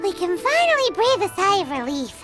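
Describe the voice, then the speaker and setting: A woman voicing a small chirpy female companion sprite in a video game, with a squeaky, childlike, sing-song delivery. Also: sing-song, moderate pace, a bit bright, full character voice. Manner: eager, bouncy, sing-song. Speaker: companion character. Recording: game music bed under the voice. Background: game background music.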